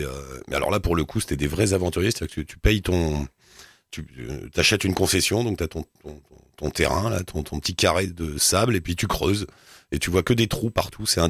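A man hosting a radio show, asking relaxed, chatty questions at a studio mic. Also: deep voice, slightly rough, no room sound. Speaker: radio host. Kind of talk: radio interview.

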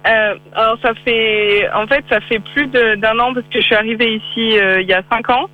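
A woman's voice: fairly high pitch, steady tone, moderate pace. Young French woman over a tinny line. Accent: slight English-tinged French. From France. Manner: hesitant, many fillers and restarts. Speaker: interviewee, freelance graphic designer. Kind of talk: casual interview over a call. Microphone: phone or call line.

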